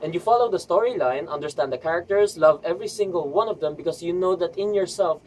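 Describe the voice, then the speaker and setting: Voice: mid-range voice, fast, darkish tone, slight background noise, low-quality recording. A vlogger giving an enthusiastic, scripted review in a chatty, emphatic way with rhetorical asides.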